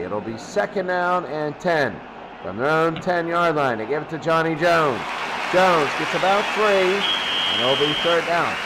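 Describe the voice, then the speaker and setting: A man doing excitable play-by-play commentary of a football game, repeating phrases and drawing out his calls. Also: high voice, noisy background. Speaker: sports commentator.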